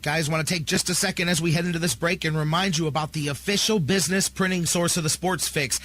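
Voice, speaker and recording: A man's voice: fairly high pitch, fairly even intonation, fast talker, a bit bright. An American man hosting sports talk radio and reading ads with an enthusiastic, salesman-like delivery. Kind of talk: sports talk and live ad reads. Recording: music bed behind the voice.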